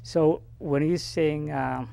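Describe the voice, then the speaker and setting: A man hosting an informal interview, putting questions and comments in a halting, conversational way. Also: fairly high pitch, steady tone, vocal fry, warm tone, slight background noise. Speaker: interview host.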